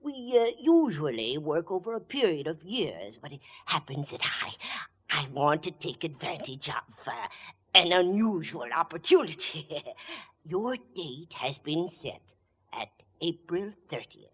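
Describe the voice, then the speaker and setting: An actor in 1940s radio style playing a fussy, hesitant, meek-mannered man of quiet menace, with a breathy, husky voice. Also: fairly high pitch, big pitch swings, heavily acted. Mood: politely menacing. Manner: hesitant, halting, politely sinister.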